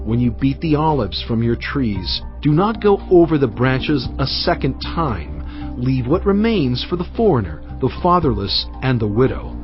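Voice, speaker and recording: Male Bible narrator, solemn and emphatic, animated mid-range voice, slightly dull recording with music behind. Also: mid-range voice, moderate pace. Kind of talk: dramatized scripture reading. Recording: dull audio with music bed.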